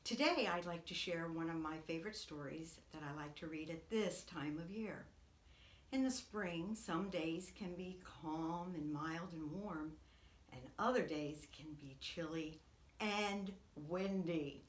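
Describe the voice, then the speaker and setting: A woman, a preschool teacher, reading a picture book aloud to young children in an expressive, dramatic way. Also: deep voice, measured pace. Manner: expressive, dramatic whispers and swoops. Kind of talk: animated read-aloud storytelling for young children. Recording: echoey room.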